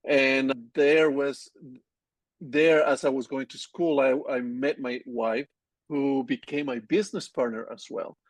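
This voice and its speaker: A man in his forties with a Colombian Spanish accent, talking about his work in a loose, easygoing way and often restarting his sentences. His voice sits in a middle pitch. The recording is close and dry, with almost no room sound. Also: measured pace, no echo. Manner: relaxed, rambling, frequent restarts. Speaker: agency founder guest. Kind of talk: casual interview conversation.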